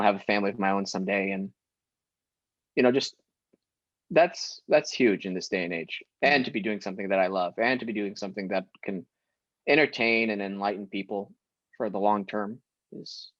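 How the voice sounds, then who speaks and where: Young adult male guest, emphatic and animated, mid pitch, dry slightly muffled audio. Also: normal speed, pauses often, dry recording. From Syria. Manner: animated, emphatic, laughs often. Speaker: guest actor. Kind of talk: casual interview chat.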